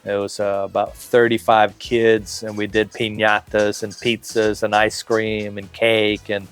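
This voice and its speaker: Man in his fifties, low voice, relaxed, talkative interview guest on a patio, light music underneath. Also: measured pace, clean tone. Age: 50s. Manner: relaxed, talkative, lots of fillers and restarts. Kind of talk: casual interview. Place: outdoor covered patio, tropical garden.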